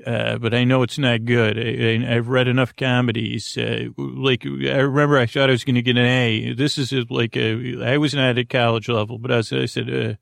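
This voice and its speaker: A man hosting a sleep podcast in a creaky, lulling voice, meandering haltingly through tangents and interrupting himself as he goes. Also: medium pitch, level tone, fairly bright tone, no echo, clean studio sound. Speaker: podcast host. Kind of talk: rambling bedtime sleep podcast monologue.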